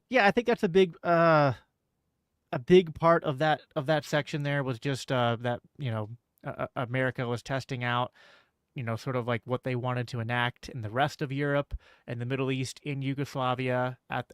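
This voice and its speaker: A man hosting a podcast discussion, talking in halting bursts with many fillers. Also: medium pitch, clear, resonant tone, dry recording. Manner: halting, many fillers and restarts, laughs easily. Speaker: podcast host. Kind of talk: casual podcast chat.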